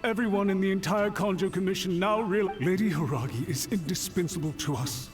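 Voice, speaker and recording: A deferential, worried official voiced by a man in a video game, pleading with formal humility. The voice sits a bit high and sounds distant, over faint music. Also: a little fast, lightly acted. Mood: desperate apology. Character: anxious, deferential male clan official, pleading and apologetic. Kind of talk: scripted video game voice acting.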